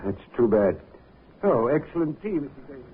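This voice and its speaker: An actor playing a polite, methodical police inspector asking measured questions in a 1950s radio drama. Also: male voice, mid-range voice, sing-song, slightly rough. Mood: patient, probing. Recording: muffled 1950s radio recording.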